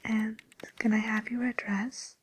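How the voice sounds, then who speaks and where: A woman playing a polite, attentive receptionist at a fairy-tale dating agency in a soft, breathy, hesitant whisper, as an ASMR roleplay. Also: a little high, flat delivery, a little slow, rough recording.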